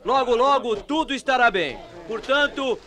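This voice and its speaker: A man playing an agitated hospital staffer calming a frightened crowd in a radio drama, insistent and repetitive. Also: very high voice, moderate pace. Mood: agitated, urgent. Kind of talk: radio mystery drama acting. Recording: noisy AM radio drama recording.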